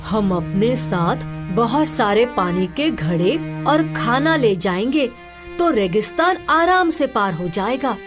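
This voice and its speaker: A woman playing an earnest, high-voiced young village brother. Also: expressive, slow, music in the background. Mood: hopeful. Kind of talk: animated children's story dialogue.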